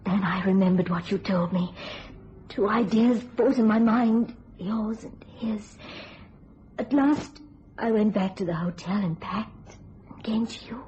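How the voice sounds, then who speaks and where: A muffled radio thriller recording with faint noise. An anxious woman played by an actress speaks slowly and dramatically, as a magician's assistant. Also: mid-range voice, darkish tone, close to an everyday voice. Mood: troubled.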